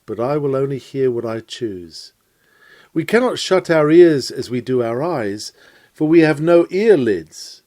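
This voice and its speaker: A man reading an old-fashioned sermon lecture aloud in a measured, rhetorical way with emphatic phrasing. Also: mid-range voice, dark tone, no room sound. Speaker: reader of a recorded sermon lecture. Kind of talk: scripted read-aloud of a Victorian lecture.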